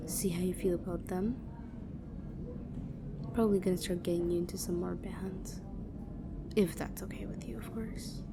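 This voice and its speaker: Slow, teasing goth girl over noisy ambience woman acting. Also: on the low side, close to an everyday voice. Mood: warm, casual. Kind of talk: intimate roleplay audio addressed to the listener. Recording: clearly noisy background ambience.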